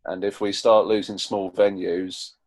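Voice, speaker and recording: Earnest male interviewee, very low and rough-edged voice, halting conversational delivery. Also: level tone, darkish tone. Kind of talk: casual interview chat.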